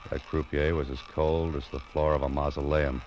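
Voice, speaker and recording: Very deep-voiced hardboiled American detective. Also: male voice, moderate pace, slight background noise. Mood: wry suspense. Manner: dry, deadpan, wisecracking. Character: world-weary hardboiled male private detective, wry and wary. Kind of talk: 1940s-50s radio noir narration and dialogue. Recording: muffled vintage radio recording.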